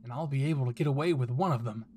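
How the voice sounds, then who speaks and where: A man's voice: medium pitch, very fast, warm tone, slight background noise, close to an everyday voice. A man voicing a cruel, sneering male villain henchman in an anime fan audio drama, theatrically mocking and taunting. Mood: threatening.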